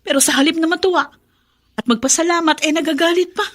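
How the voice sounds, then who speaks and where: An actress playing a weary, dutiful adult daughter in a radio drama, exasperated and aggrieved. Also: female voice, very high voice, quick pace, fairly bright tone. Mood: frustrated. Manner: aggrieved, complaining.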